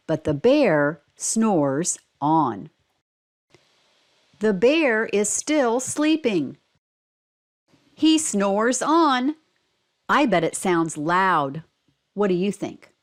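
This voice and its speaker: A woman giving scripted, instructional picture-book narration in an expressive, child-directed storytelling manner. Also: mid-range voice, very slow, pauses often. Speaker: read-aloud presenter for language teaching.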